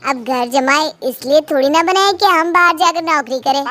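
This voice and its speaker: A woman's voice: high-pitched, moderate pace, bright, forceful tone. A woman voicing a lazy, whining, cheeky son-in-law in a cartoon moral story. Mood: self-satisfied. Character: lazy, whining live-in sons-in-law making excuses. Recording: music behind the voice.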